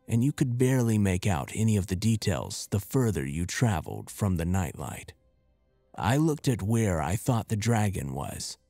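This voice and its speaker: A man reading a scripted horror story in a measured, deliberate and expressive way. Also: lowish voice, gravelly, no room sound. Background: music.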